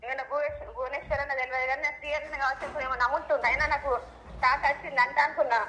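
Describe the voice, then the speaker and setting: Bright, high-voiced middle-aged Telangana mother. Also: level tone, very fast, roomy sound. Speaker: mother receiving a prank call. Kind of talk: casual phone conversation. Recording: noisy phone call on speaker.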